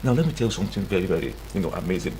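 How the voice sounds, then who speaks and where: A man's voice: sits low, lively intonation, rapid-fire, dark tone, a little hiss or hum, poor audio. A man with a Nigerian accent speaking as a guest in a conversational radio interview, emphatic and given to repeating himself. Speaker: studio guest, tech entrepreneur and CEO.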